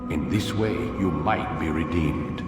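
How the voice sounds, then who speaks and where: An actor playing a stern, solemn aging master of an assassin brotherhood in a video game cutscene, with a measured, commanding, fatherly and grandiose manner. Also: very deep voice, recorded from a distance. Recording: echoey hall, music behind.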